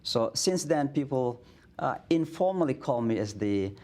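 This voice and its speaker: A man's voice: medium pitch, lively intonation, unhurried, darkish tone. A middle-aged man with an Indonesian accent, a guest imam telling stories in a studio interview, earnest, with many restarts and fillers.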